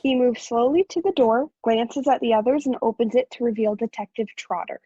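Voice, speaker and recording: A woman's voice: on the high side, brisk, dark tone, slightly rough, dry recording, dull audio. A woman reading out stage directions as scripted narration for a play.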